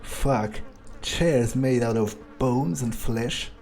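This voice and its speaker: A man's voice: mid-range voice, drawn-out words, gravelly, a little mellow. A man playing a jaded, foul-mouthed vampire who mutters wry remarks to himself during a video game playthrough. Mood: appalled disbelief. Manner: muttering, wry, swearing often. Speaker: gaming video creator playing in character. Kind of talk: in-character game playthrough monologue.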